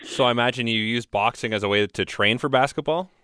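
A man interviewing a guest, asking calm questions with brief acknowledgments. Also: medium pitch, quick pace, clear, resonant tone, dry recording, clean studio sound.

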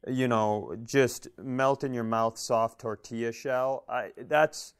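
A man's voice: mid-range voice, fairly even intonation, slow, clear, resonant tone. A man co-hosting a comedy podcast, telling stories in casual chat with self-deprecating, dry humor. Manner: self-deprecating, dryly joking. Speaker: podcast co-host. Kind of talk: casual comic chat and storytelling.

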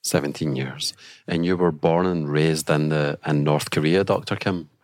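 Very deep-voiced male podcast host. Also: fairly even intonation, dry recording, studio quality. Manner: measured, polite questioning. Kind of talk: interview questions.

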